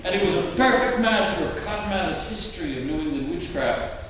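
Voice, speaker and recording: A man giving a theatrical live reading of a literary tale as a storyteller, expressive, with dramatic pauses and drawn-out phrases. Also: high-pitched. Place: inside an old stone church. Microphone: distant mic in a large room. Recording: very echoey church, distant mic, dull, faint noise.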